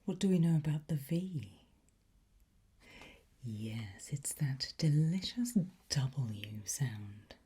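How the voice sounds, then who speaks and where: A woman doing a whispered ASMR teacher roleplay, softly and deliberately drawing out and enunciating her words. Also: rumbling low, animated. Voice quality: breathy, soft whisper. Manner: slow, deliberate, drawn-out enunciation. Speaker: ASMR roleplay host as a teacher of demonic Latin incantations.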